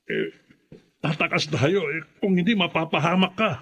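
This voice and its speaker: Worried high-pitched father. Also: moderate pace, fairly bright tone, husky. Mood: worried, urgent. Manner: agitated, hesitant, urgent. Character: worried, fearful father trying to save his daughter. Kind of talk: radio horror drama acting.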